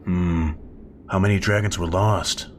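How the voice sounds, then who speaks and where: An actor playing a huge, stoic hellhound mercenary in a fan audio drama, speaking in a terse, grave, commanding way. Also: male voice, deep voice, steady tone, measured pace, slight background noise. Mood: stern. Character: huge stoic male hellhound mercenary, gruff but protective.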